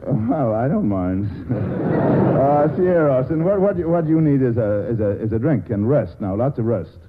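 An actor playing a flippant, witty man who keeps joking even when a gun is aimed at him, in the style of 1940s radio acting. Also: medium pitch, moderate pace, natural voice. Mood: soothing. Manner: glib, wry, talkative. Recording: tinny old radio broadcast with faint hiss.

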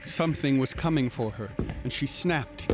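A man playing a grave storyteller in a radio drama, narrating in a measured, ornate and ominous manner. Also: medium pitch, close to an everyday voice. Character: grave storyteller recounting a grisly tragedy. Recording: music behind.

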